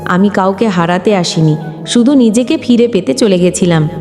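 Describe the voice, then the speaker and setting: A woman's voice: medium pitch, normal speed, warm tone, pitched below the performer's own voice, close to an everyday voice. Forgiving, wounded young man read by a woman, echoing. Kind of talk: storybook dialogue. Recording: long reverb, music underneath.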